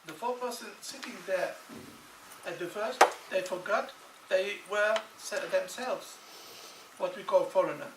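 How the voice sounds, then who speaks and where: High-voiced man lecturing in an echoey hall. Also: unhurried. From Lebanon. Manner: emphatic, repeating phrases for stress. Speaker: conference speaker. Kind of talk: lecture in non-native English. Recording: echoey hall, distant mic, noisy.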